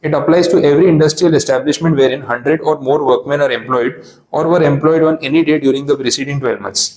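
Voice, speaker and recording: An Indian man teaches a class in a roomy, echoing space. His pitch sits in the middle range. He chats through his points with everyday asides, then slows right down to read legal wording. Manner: chatty, explains with everyday asides, reads legal text slowly. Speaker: lecturer. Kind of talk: classroom lecture, Hindi-English mix. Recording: echoey classroom.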